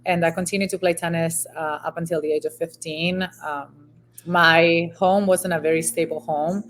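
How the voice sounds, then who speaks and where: A woman's voice: lowish voice, fairly even intonation, normal speed, fairly bright tone, a little hiss or hum. A woman from Venezuela in her thirties, a former pro volleyball player telling her story in a casual interview, with frequent ums and restarts.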